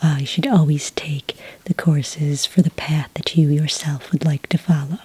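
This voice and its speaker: A woman doing a soft-spoken ASMR roleplay as a patient enrollment witch, in a breathy, whispery voice with a gentle, polite manner. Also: sits low, fairly even intonation. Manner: gentle, slow, hesitant official patter. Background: computer keyboard typing.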